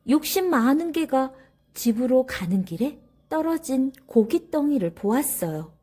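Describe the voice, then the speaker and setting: A woman storyteller reads for children with a high, lively voice that swings widely in pitch. Her tone is soft-edged and mellow, and she explains things like a teacher speaking straight to her young listeners. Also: husky. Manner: didactic, addressing children directly. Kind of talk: children's story reading with commentary.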